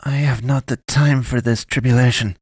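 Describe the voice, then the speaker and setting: A man's voice: mid-range voice, normal speed, no echo, clean studio sound, voice pushed up, natural voice. A man putting on a cold, formal alien pastoress. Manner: stiff, formal, clipped.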